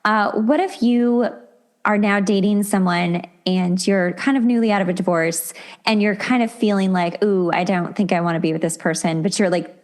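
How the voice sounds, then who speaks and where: A woman's voice: medium pitch, steady tone, normal speed, a little mellow. A middle-aged woman hosting a podcast, talking casually and conversationally with an effusive, enthusiastic manner. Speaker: podcast host.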